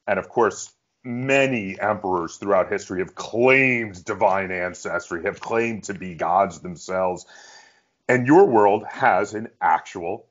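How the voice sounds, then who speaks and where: A man with a fairly low voice hosts a casual interview. He is enthusiastic and appreciative as he puts questions to his guest. The audio is a little dull and lo-fi. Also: measured pace. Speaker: interviewer, host.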